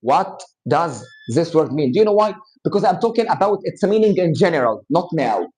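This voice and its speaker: High-voiced Egyptian English teacher. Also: male voice, sing-song, darkish tone. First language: Arabic. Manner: slow, explanatory, switches into Arabic to explain. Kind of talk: live classroom grammar lesson.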